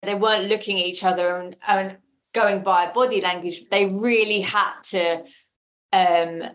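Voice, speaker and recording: A woman's voice: medium pitch, steady tone, measured pace. A woman speaking casually as an interview guest, explaining things emphatically and often repeating words for emphasis. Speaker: audio drama maker, podcast guest.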